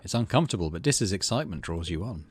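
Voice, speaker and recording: Low-pitched man with very lively intonation. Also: fast talker, a little hiss or hum. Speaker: gaming video host. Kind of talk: game let's play, reading narration aloud with casual asides.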